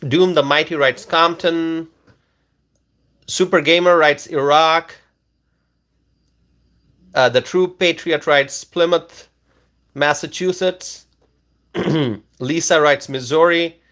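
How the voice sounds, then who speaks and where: Slow, halting man hosting a livestream. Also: fairly high pitch, fairly bright tone. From Bangladesh. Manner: slow, halting, many ums and uhs. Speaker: livestream host. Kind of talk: casual livestream chat reading comments.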